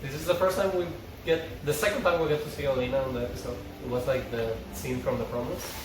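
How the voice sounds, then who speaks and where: A man's voice: mid-range voice, fairly even intonation, fast talker. A man reading stage directions aloud as narrator at an informal script table read. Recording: echoey, distant mic, clear background noise.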